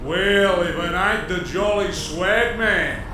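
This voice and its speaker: A cocky, sardonic costumed hero played by a man swaggers through lines in a high voice. It is motion comic acting, echoing heavily amid loud noise. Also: takes it slowly. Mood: cocky, defiant. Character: cocky male costumed hero facing down a villain. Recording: distant, echoing, very noisy recording.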